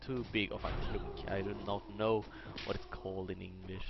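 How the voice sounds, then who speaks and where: A man's voice: deep voice, little pitch movement, normal speed, creaky, music in the background. A man with a Swedish accent commentating casually on his own video game match, thinking aloud and correcting himself as he plays. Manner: thinking aloud, self-correcting, chatty asides. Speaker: gameplay commentator. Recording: lo-fi mic, loud game sound behind. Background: game sound effects and clicks.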